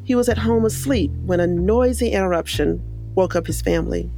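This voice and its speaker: A woman co-hosting a podcast, giving scripted documentary narration in a measured, reflective way. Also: on the low side, animated, warm tone, faint music behind.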